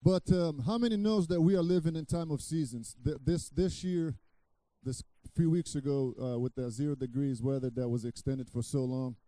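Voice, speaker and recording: A middle-aged man from Brazil, a guest pastor preaching a sermon, halting with restarts, then loud and emphatic. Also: medium pitch, no echo, rough recording.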